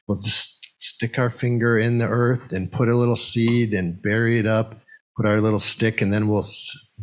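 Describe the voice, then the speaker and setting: A man leading a service, speaking in a halting way as he makes announcements and reads poetry to a congregation. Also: lowish voice. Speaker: service leader and poem reader.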